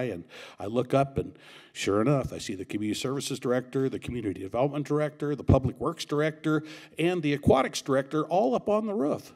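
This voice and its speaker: A man emceeing an awards event, telling a joke story at a podium mic in a banquet hall, with animated, shouted quoted lines. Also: medium pitch.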